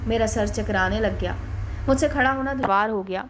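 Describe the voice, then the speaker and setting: A woman's voice: medium pitch, brisk, a little hiss or hum. A woman reading a scripted first-person story as its narrator.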